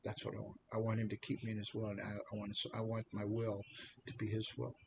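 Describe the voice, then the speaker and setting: A man's voice: on the low side, monotone, fast talker, gravelly, background noise. Rambling seventy-year-old American man. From United States. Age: 70s. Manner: rambling, repeats words and restarts, self-deprecating. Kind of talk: personal testimony monologue.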